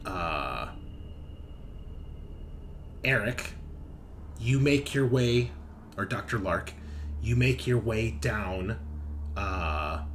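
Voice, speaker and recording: A man with a low voice narrates a tabletop game as its game master. He talks casually and haltingly, improvising as he works through details. Background noise is clearly audible behind him. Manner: halting, thinking aloud as map details are checked.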